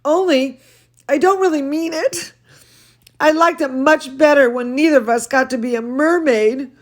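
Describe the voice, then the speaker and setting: A woman reading a children's storybook, voicing an earnest, dramatic little girl in a drawn-out, emphatic way. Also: sits high, voice pushed up, close to an everyday voice. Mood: confiding. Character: dramatic, earnest little girl narrator who loves dancing. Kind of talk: children's storybook read-aloud.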